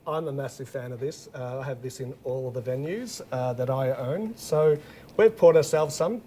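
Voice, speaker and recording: A man's voice: medium pitch, moderate pace, dark tone, slight background noise. A man hosting a live online whisky masterclass, upbeat and laughing often. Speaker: host.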